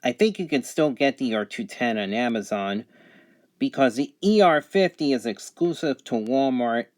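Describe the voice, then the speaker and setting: Male product reviewer, medium pitch, unscripted and matter-of-fact, listing features haltingly one by one. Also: expressive.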